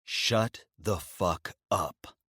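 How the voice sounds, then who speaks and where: Male reader plays a cold biker, low and clipped. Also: a little slow, fairly bright tone. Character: cold quiet male biker, grieving husband.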